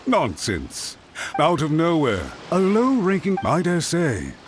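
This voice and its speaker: A man's voice: a little high, wildly swooping pitch, takes it slowly, faint music behind, exaggerated voice. A man playing a scornful, pompous, defensive official in a video game. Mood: sneering, indignant. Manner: pompous, sneering. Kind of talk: video game character acting.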